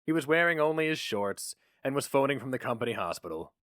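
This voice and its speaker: A man's voice: mid-range voice, big pitch swings, fast, fairly bright tone, dry recording, clean studio sound. A man reading scripted short-story narration in a dramatic style.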